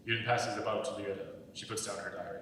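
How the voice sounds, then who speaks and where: A man's voice: fairly low pitch, little pitch movement, quick pace, a bit bright. A man reading stage directions as scripted narration, heard from far away in an echoey hall. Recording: echoey hall, far from mic, faint noise.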